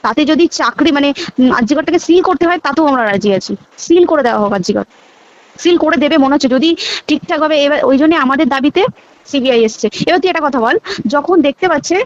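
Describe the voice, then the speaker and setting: A woman's voice: high-pitched, fast, no room sound. A young woman with a West Bengal accent talking casually and emphatically on a recorded phone call, repeating words and checking that her listener follows.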